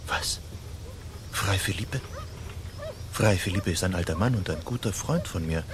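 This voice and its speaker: An actor playing a concerned, loyal gentleman in a radio play, speaking in an insistent, questioning way. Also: low voice, measured pace, noisy background. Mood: puzzled, insistent.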